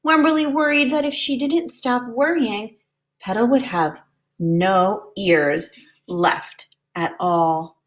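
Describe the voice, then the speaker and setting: Higher-pitched woman reading a children's storybook. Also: slow pace. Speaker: preschool teacher reading a picture book aloud. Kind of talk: children's storybook read-aloud.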